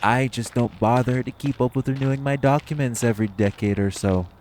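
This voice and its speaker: A man's voice: fairly low pitch, darkish tone, a little hiss or hum. A man playing a cocky, flirtatious vampire who banters sardonically and swears in an audio roleplay. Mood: irritated, cocky. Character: cocky, teasing, flirtatious male vampire, long-lived yet youthful.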